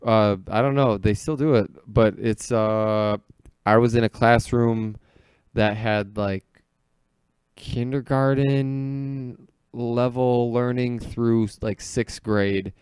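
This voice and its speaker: A man's voice: medium pitch, fairly even intonation, slow, darkish tone, smooth, dry recording. An American man telling stories in casual, comedic podcast chat, joking and peppering his talk with "like".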